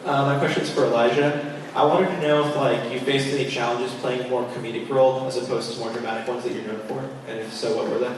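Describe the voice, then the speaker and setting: A man in the audience asking a panel a polite, steady question. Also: mid-range voice, quick pace, background noise. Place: large convention hall. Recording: echoey hall from far off.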